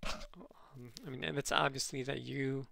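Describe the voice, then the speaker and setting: A nasal man with a heavily gravelly, bright and forceful edge works through viewer comments from his desk. He hesitates constantly with ums and restarts, his tone dry and sarcastic. The close mic sounds very dry. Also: mid-range voice, a little slow, dry recording. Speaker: channel host responding to comments. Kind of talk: casual commentary reading comments aloud.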